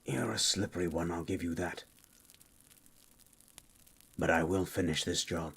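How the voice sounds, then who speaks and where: A man playing a grim, menacing monster hunter for hire in a fantasy ASMR roleplay, speaking in a terse, deliberate, coldly questioning way. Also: noisy background. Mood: grim resolve. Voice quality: husky, very low.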